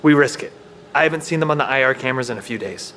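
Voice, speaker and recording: An actor playing an earnest, protective, technically minded survivor in an audio drama. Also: male voice, mid-range voice, rapid-fire, fairly bright tone, lightly acted. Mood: determined. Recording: faint background noise.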